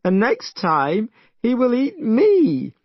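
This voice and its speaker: A man's voice: on the high side, moderate pace, no room sound, voice pushed up, natural voice. A man voicing a timid, weepy young male priest in a storybook reading, whining tearfully. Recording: dull dry recording.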